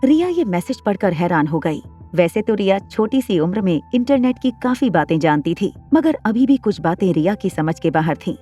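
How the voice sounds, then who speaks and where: Mellow woman narrating a drama. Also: fairly low pitch, breathy edge. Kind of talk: scripted dramatic story narration. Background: soft background music.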